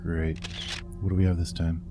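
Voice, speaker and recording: A lowish male voice as a world-weary, wary cop in a noir audio drama. He talks dryly and sardonically over clearly audible music. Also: flat delivery, moderate pace, dark tone. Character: jaded, wary male veteran cop with bad reputation. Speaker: voice actor. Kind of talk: crime audio drama dialogue.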